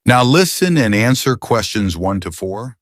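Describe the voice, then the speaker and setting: A man's voice: lowish voice, sing-song, a little slow, no room sound, studio quality. A man reading scripted, formal instructions as the announcer of a listening test. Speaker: exam announcer.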